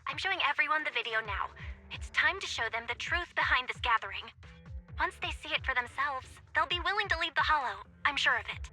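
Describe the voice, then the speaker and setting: A determined young heroine's ally in an anime game. Her voice is high and very bright, earnest and purposeful, over faint music. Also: expressive, fairly quick, exaggerated voice. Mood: determined, hopeful. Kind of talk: video game dialogue acting.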